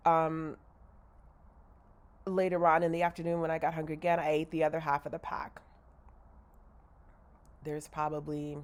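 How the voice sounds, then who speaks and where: A woman with a slightly nasal, congested voice, rambling candidly in a casual video diary and correcting herself as she goes. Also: on the low side. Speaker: vlogger.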